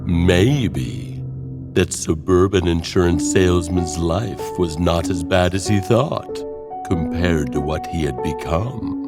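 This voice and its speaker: A man narrating scripted horror tales as host, in a theatrical, wry manner with drawn-out phrasing. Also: deep voice, animated. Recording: music under the voice.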